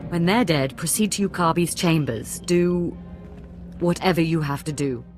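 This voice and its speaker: Woman as a proud, lowish-voiced syndicate leader. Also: soft background music, close to an everyday voice. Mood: commanding. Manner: deliberate, formal. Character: proud, commanding female crime syndicate leader. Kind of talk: video game character acting.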